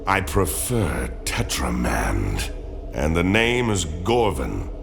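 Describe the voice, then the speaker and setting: A man playing a big four-armed alien lawman in a video game, speaking formally and deliberately with weighty pauses. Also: a bass voice, very animated, gravelly, full character voice. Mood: calm, measured. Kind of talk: video game cutscene voice acting. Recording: music behind the voice.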